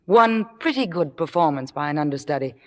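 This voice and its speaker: An actress playing a young, scheming stage understudy with a modest, careful manner in an old-time radio drama. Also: female voice, deep voice, expressive, a little fast, darkish tone. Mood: demure, coy. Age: young adult. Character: demure, falsely humble, scheming young actress. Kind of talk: 1950s radio drama acting.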